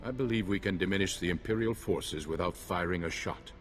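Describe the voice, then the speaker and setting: A man playing a calm, seasoned older warrior strategist in a video game, speaking in a measured, formal way. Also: low voice, over music, natural voice. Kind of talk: video game cutscene acting.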